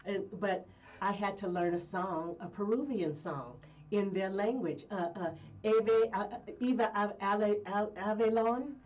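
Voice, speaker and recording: A woman from Colorado, a guest singer telling stories in a rambling, lively way with frequent laughs. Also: medium pitch, unhurried, far from the mic, lo-fi sound, slight background noise. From Colorado, USA. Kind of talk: casual interview chat.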